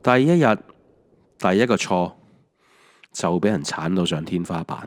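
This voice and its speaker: A man's voice: low-pitched, expressive, measured pace. A man with a Hong Kong accent, narrating a scripted autobiographical audio drama in a reflective, wry storytelling manner. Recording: music under the voice. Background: background music.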